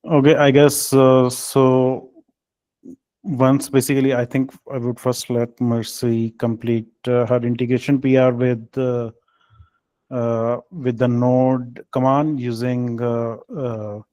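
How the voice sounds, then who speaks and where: A man leading a technical team call, speaking in a halting, hesitant way with filled pauses. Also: mid-range voice, level tone, mellow, dry recording. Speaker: meeting lead.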